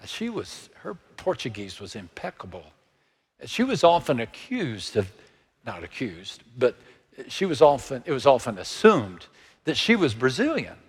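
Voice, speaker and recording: A man in his sixties with a Texan accent, preaching a sermon in a slow, measured way that sinks to hushed, near-whispered lines. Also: mid-range voice, wildly swooping pitch, slightly rough, big-room sound. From Texas. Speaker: pastor.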